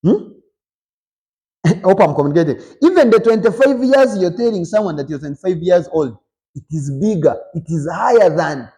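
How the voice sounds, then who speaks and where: Emphatic motivational man, mellow voice. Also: high-pitched, lively intonation, moderate pace. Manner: rhetorical questions with frequent "hmm?"; emphatic, rises sharply on key points. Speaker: show host. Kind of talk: motivational talk to viewers.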